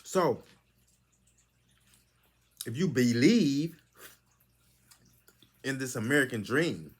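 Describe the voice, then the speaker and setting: A man's voice: mid-range voice, expressive, very slow, breathy edge. A man hosting his own channel, giving a lecturing monologue that is full of rhetorical questions. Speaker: channel host. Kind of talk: preachy monologue commentary.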